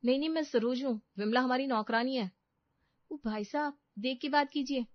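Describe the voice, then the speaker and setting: A woman's voice: sits high, moderate pace, no echo, muffled recording, pitched above the performer's own voice, natural voice. A woman voicing an indignant, vain, well-off housewife in a cartoon moral story. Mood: offended.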